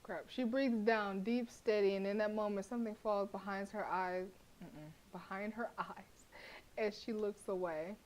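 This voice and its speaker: A young woman streaming a horror visual novel, reading the narration aloud and breaking into blunt, profane, animated reactions with frequent laughter. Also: medium pitch, moderate pace, low-quality recording.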